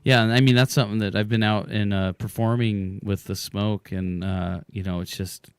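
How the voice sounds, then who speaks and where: A man hosting a casual radio interview, his voice a bit hoarse, talking in a rambling, relaxed way with lots of fillers. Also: lowish voice, normal speed, no room sound. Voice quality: hoarse from smoke. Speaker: radio show host. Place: radio studio.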